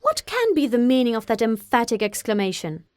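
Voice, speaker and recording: A woman voicing a dry, teasing middle-aged father in an audiobook, measured and mock-solemn. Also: fairly high pitch, very animated, no room sound. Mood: wry, sly. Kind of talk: audiobook character acting.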